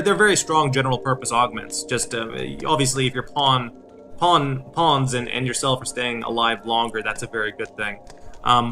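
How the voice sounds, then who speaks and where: A man hosting a gaming video, explaining as he plays in casual commentary with a halting delivery. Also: medium pitch, bright, forceful tone.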